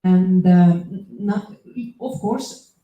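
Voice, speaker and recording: A woman from Estonia, an associate professor giving a conference talk in English, pausing often with fillers and restarts. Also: fairly low pitch, narrow, tinny audio, soft background music. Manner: hesitant, many fillers and restarts. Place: lecture hall. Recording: echoey hall.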